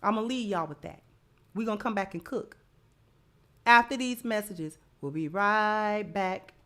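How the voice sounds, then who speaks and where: A woman's voice: medium pitch, a little slow, bright, forceful tone. An American woman hosting a live commentary show, speaking emphatically and repeating phrases to drive them home. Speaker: show host. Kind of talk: live commentary and sponsor read.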